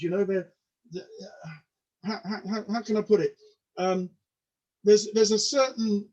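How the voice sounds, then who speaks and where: A man in his sixties whose first language was Polish, a comedian chatting as a guest in a hesitant way, repeating words and filling pauses. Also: sits high, darkish tone. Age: 60s. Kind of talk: casual interview talk. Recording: dull lo-fi sound.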